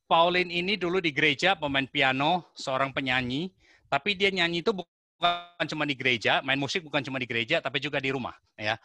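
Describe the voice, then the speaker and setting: A man's voice: on the high side, a little fast, bright, forceful tone, dry recording. A man hosting a webinar, introducing the guest with friendly, teasing asides to the audience. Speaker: webinar host.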